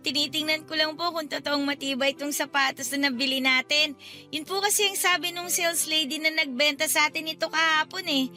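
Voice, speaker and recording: A little schoolgirl in a radio drama. She sounds sweet, polite and cheerful, with a very high, bright, childlike voice. Faint music plays behind. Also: fairly even intonation, fairly quick. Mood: cheerful, protesting.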